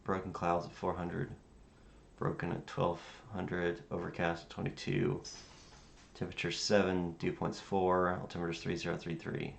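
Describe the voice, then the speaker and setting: Low, gravelly man chatting on a livestream. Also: completely flat delivery. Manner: halting, rambling, thinking aloud. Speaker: streamer playing virtual air traffic controller. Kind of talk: casual livestream chat. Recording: roomy sound with faint background noise.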